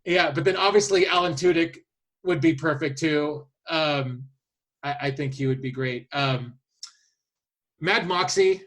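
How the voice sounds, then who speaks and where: A man with a slightly high voice talks in a casual podcast style. He is hesitant and halting, thinking aloud as he works through his list of picks. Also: a bit bright. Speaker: podcast host. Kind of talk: casual chat, presenting a list of casting picks.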